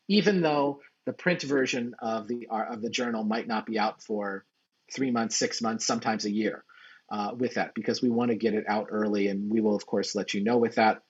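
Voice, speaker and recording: A man presenting as a journal editor in an explanatory conference style, speaking haltingly with frequent restarts and repeated words. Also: mid-range voice, slightly rough, dull audio.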